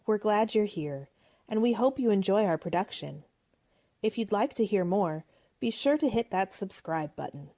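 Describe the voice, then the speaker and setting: A woman's voice: medium pitch, dark tone. A woman host giving a scripted introduction and narration for a radio play.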